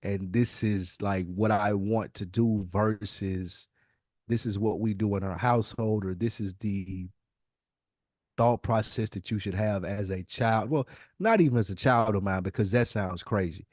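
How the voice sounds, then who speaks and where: A man's voice: on the low side, unhurried, a little mellow, dry recording, clean studio sound. A man co-hosting a podcast in casual chat, thinking aloud reflectively and circling back over his words. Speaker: podcast co-host.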